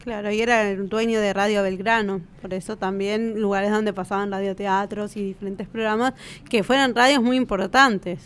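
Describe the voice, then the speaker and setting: A woman's voice: medium pitch, steady tone, normal speed, clear, resonant tone. A woman with an Argentine accent, co-hosting a podcast in a casual, conversational way and often checking for agreement with little tag questions. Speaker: podcast co-host.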